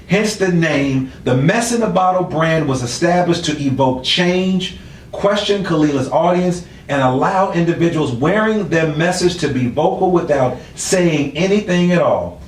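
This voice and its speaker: A man's voice: a little high, a little hiss or hum. Playful slangy man hosting, echoey room. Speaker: talk show host. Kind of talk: casual interview show. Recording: echoey room, distant mic.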